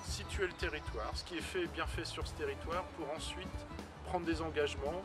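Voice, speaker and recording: A man's voice: fairly high pitch, steady tone, a little fast. A man reading scripted explanatory narration for a presentation video. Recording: voice-over under loud music. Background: loud music.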